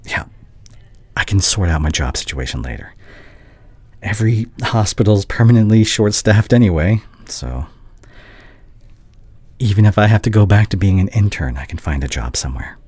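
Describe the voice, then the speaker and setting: A man playing a heartbroken, devoted young doctor ex-boyfriend in an intimate audio roleplay, hushed and halting, often slipping toward a whisper. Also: on the low side, animated, breathy edge, no room sound. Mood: tender, devoted. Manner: halting, emotional, confessional.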